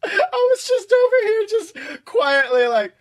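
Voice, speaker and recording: A man co-hosting a podcast with casual comedic banter in a playful, excitable, giggly manner. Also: extremely high pitch, measured pace. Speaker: podcast co-host and author.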